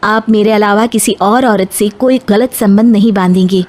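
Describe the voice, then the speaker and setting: A woman's voice: mid-range voice, normal speed, darkish tone, lightly acted. A woman voicing a young educated, determined bride who speaks up firmly in a moral story. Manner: protesting, firm.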